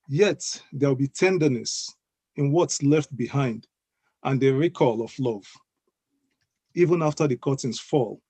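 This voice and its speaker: A man with a croaky voice from the cold, reading his own poem slowly and deliberately on an online call. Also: mid-range voice, mellow, dry recording. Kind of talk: poetry reading. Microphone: online video call audio.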